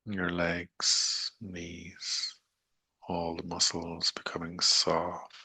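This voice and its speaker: A man leading a guided meditation in a soothing, unhurried manner with long pauses and gentle coaxing, his voice breathy and gravelly. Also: deep voice, completely flat delivery, no room sound, low-quality recording.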